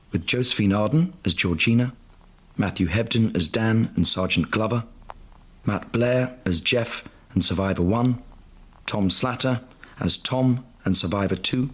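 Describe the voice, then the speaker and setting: Low, husky male announcer. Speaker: credits announcer. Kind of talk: scripted credits announcement.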